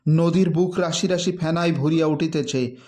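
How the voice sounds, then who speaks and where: Man, slightly high pitch, dramatic story narration, measured, emphatic. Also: mellow. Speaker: narrator reading a literary short story. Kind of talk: dramatic literary audiobook-style narration.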